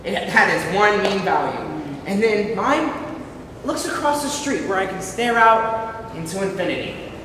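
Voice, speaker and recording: A man playing an earnest, anxious dreamer in an amateur stage play, with a theatrical, excitable delivery. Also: sits high, moderate pace. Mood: wistful, impatient. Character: earnest, hopeful, anxious dreamer longing for a house. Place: indoor hall, recorded from far off. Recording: very echoey hall, distant mic, faint noise.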